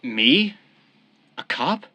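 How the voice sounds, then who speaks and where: A man's voice: mid-range voice, very animated, very slow, sharp tone, no echo, heavily acted. An actor in a radio drama playing a young former gangster, repentant and devoted to his sweetheart. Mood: startled, wary. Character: young ex-mob tough, repentant, devoted expectant father.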